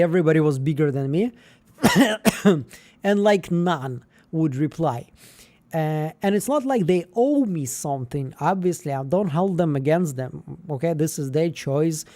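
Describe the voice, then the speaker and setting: Man, livestream host, rambling, slightly high, mellow voice. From Israel. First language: Russian. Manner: rambling, with frequent fillers and restarts. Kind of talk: casual livestream chat.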